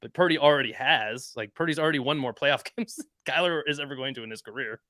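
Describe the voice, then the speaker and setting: A male co-host with a bright, forceful tone, pushing his opinions hard in casual sports talk. His pitch jumps when he gets worked up, and the recording is close and dry. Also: fast, no room sound. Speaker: podcast co-host. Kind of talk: casual sports podcast chat.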